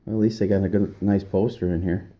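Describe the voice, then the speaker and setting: A man's voice: deep voice, steady tone, mellow. A middle-aged man chatting casually and joking easily with a friend on a fishing trip. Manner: easygoing, joking, slow drawl. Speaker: fishing companion. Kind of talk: casual chat. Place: indoors at a themed venue, then on a boat.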